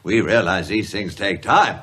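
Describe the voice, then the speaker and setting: A man's voice: low voice, takes it slowly, fairly bright tone, breathy edge, exaggerated voice. An actor in a radio drama playing a restless, impatient, sarcastic man with an emphatic delivery. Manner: exasperated, emphatic.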